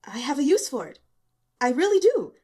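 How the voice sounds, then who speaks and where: A naive young male intern in an audiobook, acted out by a woman narrator. His voice sits high and he speaks hesitantly, with timid, eager earnestness. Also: big pitch swings, moderate pace, dark tone. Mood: earnest.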